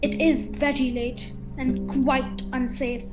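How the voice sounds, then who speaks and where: A woman playing a gracious but insistent countess in an amateur radio play, speaking in a formal, measured way. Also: high voice, little pitch movement, loud music behind the voice, rough recording. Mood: coaxing, insistent.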